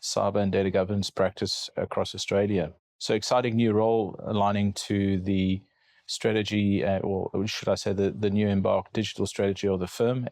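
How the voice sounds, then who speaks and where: A middle-aged man with a South African accent, speaking as a guest in a podcast interview, measured and repeating words as he thinks. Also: on the low side, vocal fry, darkish tone, no echo. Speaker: guest cybersecurity lawyer.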